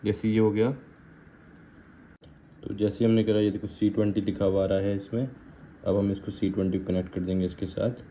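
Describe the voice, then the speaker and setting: Male unboxing vlogger, Indian, low and mellow, casual code-switching delivery, slightly noisy audio. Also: level tone, normal speed, long pauses. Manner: informal, switches between Hindi and English phrases. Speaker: vlogger reviewing gear. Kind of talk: casual unboxing and installation walkthrough. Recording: muffled lo-fi audio with faint background noise.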